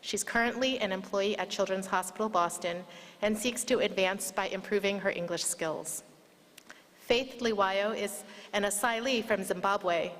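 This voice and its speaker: Female event speaker, formal scripted introductions, medium pitch, long hall reverb. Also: normal speed, a bit bright. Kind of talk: formal prepared speech. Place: large indoor hall, public event.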